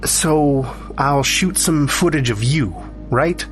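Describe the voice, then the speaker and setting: A man's voice: a little high, takes it slowly, faint music behind, pitched above the performer's own voice. A man playing a driven, scheming man in an audio story, explaining himself insistently. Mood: coaxing. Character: scheming, driven man plotting his own resurrection.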